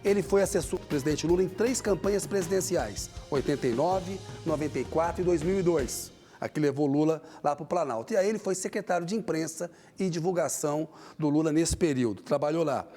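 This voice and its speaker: Brazilian male TV host, friendly interviewer, slightly high and mellow voice. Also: fairly quick, few pauses, soft background music. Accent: Brazilian Portuguese. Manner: friendly, prompting questions.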